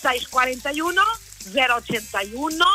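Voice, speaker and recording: A woman's voice: high-pitched, expressive, brisk, bright, forceful tone. A woman from Lisbon with a Portuguese accent, a native Portuguese speaker, promoting a brand giveaway live on radio in an effusive, affectionate way.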